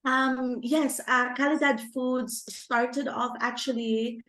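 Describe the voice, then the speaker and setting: A woman from the Philippines with a Filipino accent, a guest in a casual interview, talking in a halting way with many restarts. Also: high voice, steady tone, bright, forceful tone. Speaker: guest, food business founder.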